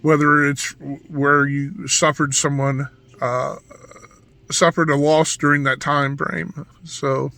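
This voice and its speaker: Raspy, hoarse man rambling in a vlog. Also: a little high, flat delivery, slow pace, sharp tone. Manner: rambling, with frequent restarts and asides. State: hoarse from yelling. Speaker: vlogger. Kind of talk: casual rambling monologue.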